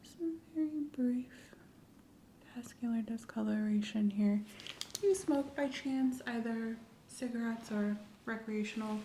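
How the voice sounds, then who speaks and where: Calm, attentive female clinician, played by a woman for ASMR medical roleplay; soft, mellow, measured delivery in a roomy space. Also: fairly high pitch, slight background noise. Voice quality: soft, often whispery. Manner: gentle, measured step-by-step instructions. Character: calm, attentive female doctor giving an exam. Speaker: ASMR performer playing a clinician.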